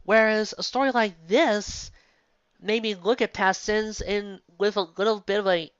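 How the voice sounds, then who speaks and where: Woman, mid-range voice, casual reviewer, exasperated rhetorical questions, halting rephrasing, muffled lo-fi sound. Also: mid-range voice, fairly even intonation, clean tone, no echo. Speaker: fan fiction reviewer and commentator. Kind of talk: casual, unscripted opinion commentary.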